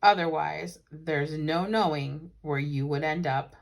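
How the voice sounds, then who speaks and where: A woman's voice: sits low, fairly even intonation, a little slow, gravelly, pitched below the performer's own voice. Lady reading as a sensible woman in a kids story, dry, wise, never fazed. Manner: dry, matter-of-fact.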